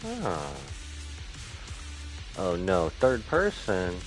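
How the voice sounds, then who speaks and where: A man's voice: low voice, wildly swooping pitch, extremely slow. A man giving casual live gameplay commentary as a streamer, informally thinking aloud. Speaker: video game streamer. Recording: game music behind. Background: video game music.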